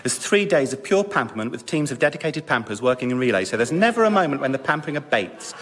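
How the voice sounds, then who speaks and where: An actor playing a smug, indulgent spa guest who boasts about pampering in radio sketch comedy. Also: male voice, medium pitch, big pitch swings, fast, long reverb tail, heavy background noise, close to an everyday voice. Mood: smug, boastful.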